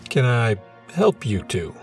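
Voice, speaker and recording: A woman voicing a matter-of-fact neighbor man with a gravelly voice. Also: rumbling low, sing-song, slow pace, a little mellow, faint music behind, pitched below the performer's own voice, exaggerated voice.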